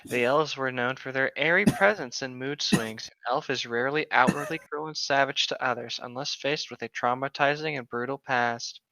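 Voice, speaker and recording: A man's voice: mid-range voice, normal speed, few pauses, pushed and bright, no room sound, rough recording. A young man reading aloud and chatting casually as a co-host, informal and joking, sometimes stumbling over hard words. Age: young adult. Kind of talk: casual group read-aloud with joking asides.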